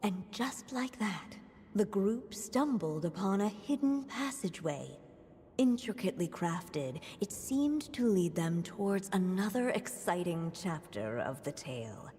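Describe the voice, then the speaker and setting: A woman playing a gentle storybook narrator in a video game, telling a fairy tale in measured, solemn phrases. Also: medium pitch, big pitch swings, a little hiss or hum. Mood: measured, mysterious. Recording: long reverb, music behind. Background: music.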